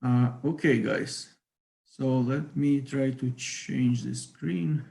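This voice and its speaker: Ukrainian-accented man, hesitant lecturer, gravelly voice, roomy echo on a call. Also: medium pitch, steady tone, slow, mellow. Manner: hesitant, many fillers. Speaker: physics lecturer. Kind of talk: online academic talk.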